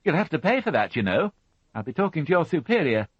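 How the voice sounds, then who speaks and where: A man's voice: mid-range voice, sing-song, normal speed, dry recording, voice pushed up. A man voicing a fussy, prim middle-aged housewife who chatters and turns indignant, in a storybook reading. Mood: scolding. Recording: dull, dry studio audiobook.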